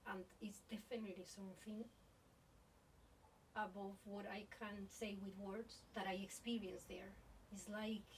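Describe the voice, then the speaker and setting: A woman's voice: medium pitch, little pitch movement, measured pace, recorded from a distance, rough recording. A woman podcast host talks introspectively, feeling her way through phrases. Her voice sits in the middle range, a little away from the microphone, with faint background noise. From born in Colombia, grew up in Spain. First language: Spanish. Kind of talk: reflective interview conversation.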